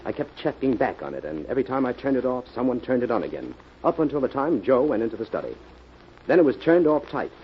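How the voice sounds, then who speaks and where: An assured detective played by a man lays things out at a fast clip with lively pitch. The delivery is classic radio mystery, on a muffled vintage recording. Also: a little hiss or hum, lightly acted. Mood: brisk, assured. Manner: brisk, assured, explanatory. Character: confident male detective explaining a solved case. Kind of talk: 1940s radio acting.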